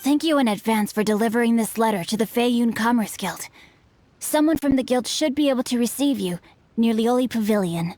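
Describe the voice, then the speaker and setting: An actor voicing a bookish young male swordsman in a video game, speaking in a formal, earnest, measured way. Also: extremely high pitch, a little mellow. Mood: polite.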